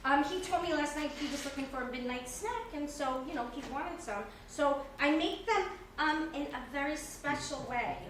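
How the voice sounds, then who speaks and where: Actress as flirty scatterbrained woman, halting, high voice, stage play reading, distant noisy recording. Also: a bit bright. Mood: playful, coy. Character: flirty, joking, scatterbrained woman friend who bakes brownies. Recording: echoey room, distant mic, noisy.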